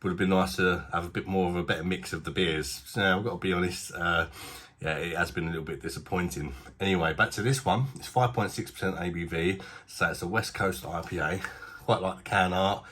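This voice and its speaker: A man's voice: deep voice, brisk, a bit bright, a little hiss or hum. A man with a British accent reviewing beer in a casual, rambling, self-deprecating way, a little bunged up from a blocked nose. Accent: British English. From Britain.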